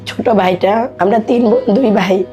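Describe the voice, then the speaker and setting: A woman's voice: medium pitch, moderate pace, warm tone. Bangladeshi woman telling her story over music. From Dinajpur, Bangladesh. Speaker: podcast guest. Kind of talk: personal life storytelling in conversation. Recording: music under the voice. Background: background music.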